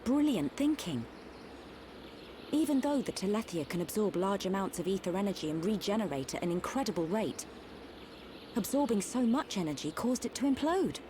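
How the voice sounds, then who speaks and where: A woman plays a kind, level-headed game companion. Her voice sits at a medium pitch. There is slight noise behind her. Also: very animated, normal speed, natural voice. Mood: impressed. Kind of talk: video game cutscene acting.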